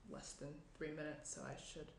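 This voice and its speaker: A woman's voice: deep voice, flat delivery, normal speed, creaky. A young woman playing a tense, guarded, sarcastic morning-after guest in a naturalistic table read. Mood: tense, awkward. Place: small indoor room at a table. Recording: roomy, faint background noise.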